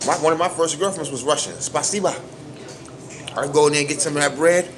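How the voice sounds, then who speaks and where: A man's voice: fairly high pitch, brisk, crowd noise. A middle-aged man from New York with a Brooklyn accent, hosting a livestream and talking in an emphatic, preachy way full of street slang. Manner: emphatic, preachy, keeps asking "you understand me?"; street slang, animated storytelling. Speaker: livestream host. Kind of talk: casual livestream monologue.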